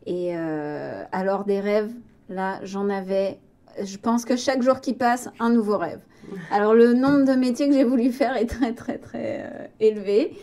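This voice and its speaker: A woman's voice: mid-range voice, measured pace, dark tone. A woman from western France with a French accent from France, telling personal stories casually as an interview guest, in a hesitant, reflective manner. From Vendée, western France. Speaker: interview guest, arts organisation director.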